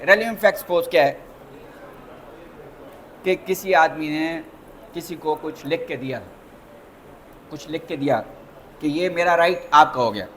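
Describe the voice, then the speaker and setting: A man's voice: high voice, a bit bright, a little hiss or hum. A man with a Pakistani accent giving an interactive lecture as a lawyer, repeating points and asking rhetorical questions, mixing in English terms.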